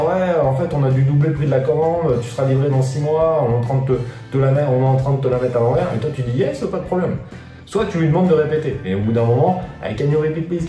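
A man's voice: on the high side, warm tone. A man talking casually and straight to the viewer, slangy and full of fillers, giving business advice. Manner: slangy, informal, addresses viewer as tu, many fillers. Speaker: online business coach. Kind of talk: casual direct-to-camera advice video. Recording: echoey room with faint guitar music.

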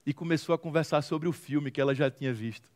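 Man, high voice, Brazilian accent, motivational public speaker, dramatic storyteller, emphatic repetition, humorous. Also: quick pace, darkish tone. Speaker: guest speaker, social movement founder. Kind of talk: motivational testimony to a church audience.

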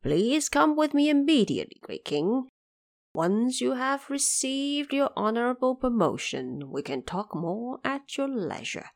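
A woman plays an old heavenly messenger in a fantasy tale. He is formal and diplomatic, choosing his words with measured care, and his intonation rises and falls expressively. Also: high-pitched, sing-song, vocal fry, pitched above the performer's own voice, heavily acted. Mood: formal, stately. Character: dignified old male celestial envoy, diplomatic and reverent.

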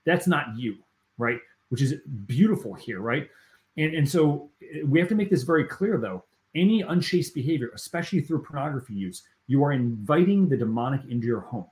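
A man's voice: mid-range voice, animated, a little fast, a little mellow, roomy sound. A man hosting a faith podcast interview, talking earnestly and emphatically and often checking in with "right?". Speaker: podcast host.

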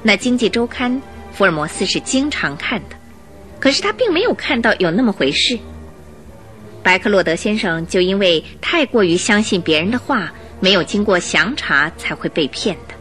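Expressive woman narrating a radio drama. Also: mid-range voice, normal speed, faint music behind. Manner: expressive storyteller phrasing.

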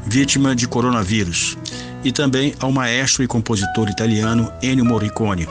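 A man's voice: medium pitch, moderate pace, over music. A man with a Brazilian accent reading a formal scripted announcement.

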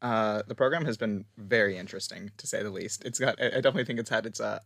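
A man from Canada answers interview questions quickly and smoothly. His voice is on the low side and he talks fast. The recording is dry and close. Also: fairly bright tone, dry recording. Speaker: graduate student guest. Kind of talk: casual interview chat.

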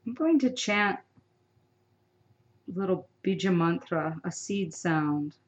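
Slow, soothing woman guiding meditation. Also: lowish voice, expressive, lo-fi sound. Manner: soothing, unhurried, with long pauses. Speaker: meditation guide. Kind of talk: guided meditation.

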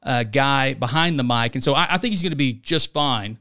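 A man's voice: mid-range voice, a little fast, sharp tone. A man in his forties hosting a podcast, talking in an upbeat, chummy way and often tossing in "right?". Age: 40s. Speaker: podcast host. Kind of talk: casual chat and ad read. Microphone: studio podcast mic.

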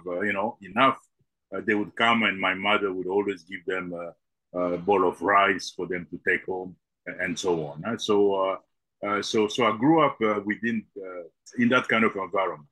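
A middle-aged man from the Congo, a podcast guest answering with many halting fillers and repeated words. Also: sits low, bright, forceful tone, dry recording, low-quality recording.

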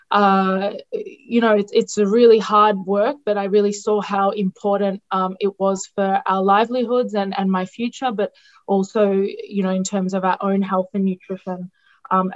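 A woman who is a dietitian, her Greek, answering interview questions with many ums, restarts and hesitations. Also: medium pitch. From Australia. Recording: dull lo-fi sound.

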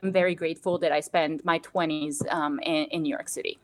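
A woman in her thirties, originally from Bosnia, answering interview questions in a measured, reflective way. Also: low-pitched, steady tone. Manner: measured, reflective, frequent "to be honest" and "actually". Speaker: guest.

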